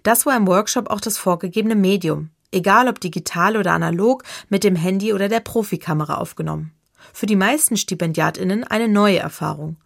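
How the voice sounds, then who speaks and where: A woman reporter reading a scripted radio feature in a measured, even way. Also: medium pitch, vocal fry, no room sound, clean studio sound.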